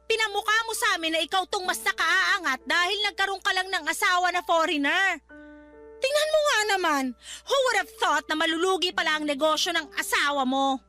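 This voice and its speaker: Really high bright filipina manager, earnest and wronged, radio drama, soft music under it. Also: female voice, heavily acted. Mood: reproachful, hurt. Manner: emotional, pleading and reproachful. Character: earnest young woman resort manager, wronged but conciliatory.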